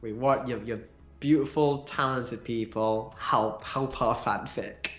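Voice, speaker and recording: A man co-hosting a casual livestream, tossing in jokes and quick asides. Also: mid-range voice, steady tone, fairly bright tone, lo-fi sound, faint music behind. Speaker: livestream co-host. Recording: echoey room.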